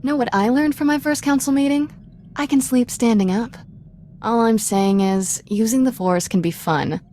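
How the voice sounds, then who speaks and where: An actress playing an irreverent, streetwise young Jedi in a video game, speaking in a wry, informal way. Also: female voice, fairly high pitch, normal speed, warm tone, a little hiss or hum, close to an everyday voice. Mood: wry, teasing. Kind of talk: video game cutscene acting.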